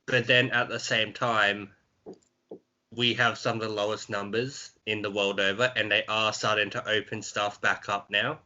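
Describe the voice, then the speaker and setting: A man's voice: on the low side, level tone, moderate pace, sharp tone, dull audio. A young man from Australia co-hosting a podcast, chatting casually in a laid-back, blunt way. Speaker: podcast co-host. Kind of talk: casual chat.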